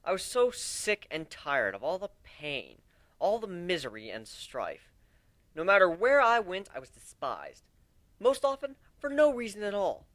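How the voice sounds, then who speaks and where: An earnest, nerdy young griffin, played by a man in a dramatic story reading. He rambles in a high, very animated voice, full of self-deprecation. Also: no echo.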